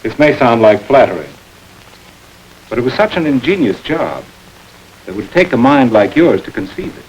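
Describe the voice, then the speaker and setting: An actor playing a firm detective who speaks bluntly and steadily, in a 1940s film. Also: male voice, mid-range voice, very animated, normal speed, slight background noise, close to an everyday voice, poor audio. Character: firm male detective, adult.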